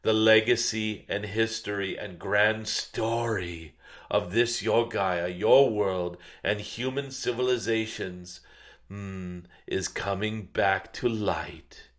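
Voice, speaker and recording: Guy doing an ancient wise dragon spirit, really slow n lowish, gentle guided meditation vibe, little hums between phrases. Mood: soothing, solemn. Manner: slow, solemn, pausing with murmured hums. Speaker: spiritual channeler. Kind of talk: channeled spiritual message, guided-meditation delivery.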